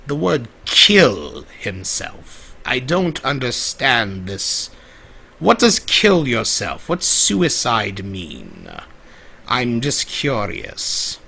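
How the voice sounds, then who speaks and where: Ancient male jester-spirit played by a man, gravelly and husky, slow and swooping, theatrical. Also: medium pitch, pushed and bright, heavily acted. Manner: theatrical, flamboyant, rambling. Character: centuries-old male pony-world trickster, theatrical jester. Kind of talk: live roleplay monologue to an audience.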